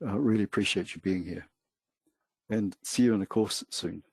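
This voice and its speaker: A New Zealand man with a low, husky voice talks loosely through a trading webinar, pausing often with fillers. His voice is close and dry, with no room around it. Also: dry recording. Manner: relaxed, hesitant, many fillers. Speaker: webinar co-host. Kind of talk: casual webinar talk.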